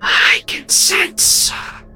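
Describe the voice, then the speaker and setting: A woman's voice: sits high, shouting, faint music behind. A woman voicing the cold, menacing ghost of a murdered young woman in a spoken horror story. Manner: cold, menacing, slow and drawn out.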